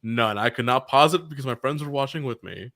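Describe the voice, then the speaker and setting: A man's voice: mid-range voice, a little fast, fairly bright tone, no echo, studio quality. A man co-hosting a podcast in easygoing, chatty conversation who laughs often. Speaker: podcast co-host. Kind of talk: casual podcast chat.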